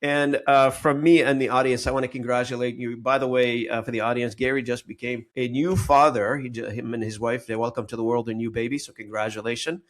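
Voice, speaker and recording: A man hosting a podcast interview in a conversational, somewhat halting and rambling manner. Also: medium pitch, flat delivery. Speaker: podcast host.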